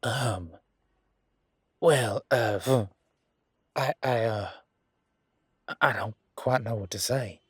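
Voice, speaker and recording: A man's voice: mid-range voice, takes it slowly, long pauses, slightly rough, dry recording, clean studio sound. A man playing a bashful, apologetic young male werewolf with a cowboy drawl, stammering politely in an audio drama. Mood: awkward, nervous.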